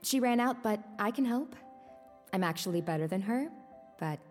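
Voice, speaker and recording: A woman's voice: mid-range voice, lively intonation, normal speed, darkish tone, faint music behind, natural voice. A woman playing a young, alluring tarot reader in a naturalistic audio drama, with a teasing, mystical manner. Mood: playful, welcoming. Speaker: actress.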